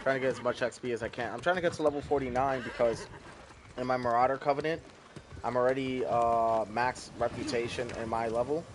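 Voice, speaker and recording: A man's voice: medium pitch, fairly even intonation, brisk, a little hiss or hum. A man streaming a game, chatting casually to viewers in a laid-back, rambling way with casual swearing. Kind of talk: casual gameplay commentary.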